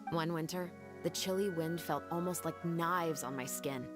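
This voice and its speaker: Actress as a low, composed young woman officer. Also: over music, natural voice. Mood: solemn, earnest. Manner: measured, earnest, formal. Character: composed young woman officer, serious, reflective, quietly resolute. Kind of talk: scripted video game dialogue.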